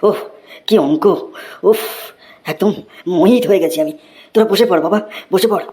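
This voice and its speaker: An actor voicing a theatrical, excitable schoolteacher who scolds and gushes in a comedy cartoon. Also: medium pitch, moderate pace, lightly acted. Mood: gushing delight. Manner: theatrical, swinging from scolding to gushing praise. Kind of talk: exaggerated Bengali cartoon comedy dubbing.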